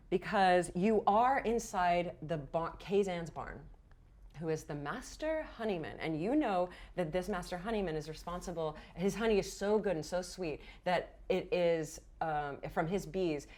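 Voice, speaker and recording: A woman's voice: lowish voice, a little mellow. A woman game master narrating a fantasy tabletop roleplaying game in a playful, theatrical storytelling manner. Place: indoor studio set.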